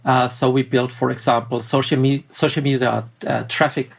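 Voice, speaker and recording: A man's voice: medium pitch, flat delivery, normal speed, gravelly, husky. A middle-aged man with a German accent, a podcast guest talking in bursts, with many fillers and restarts. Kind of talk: podcast interview.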